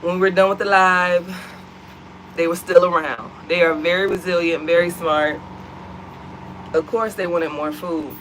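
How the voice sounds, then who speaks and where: A woman's voice: on the low side, fairly even intonation, normal speed, pauses often, fairly bright tone, slight background noise, poor audio. An American woman co-hosting a home vlog, chatting animatedly and addressing the viewers as y'all. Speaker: vlog co-host. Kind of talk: casual chat to viewers. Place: at home, seated.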